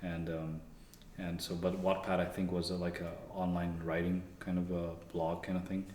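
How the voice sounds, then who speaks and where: A young man from Canada, a guest engineer, talking in a relaxed, rambling way with many fillers and restarts. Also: deep voice, moderate pace, slight background noise. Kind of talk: casual interview conversation. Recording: echoey room.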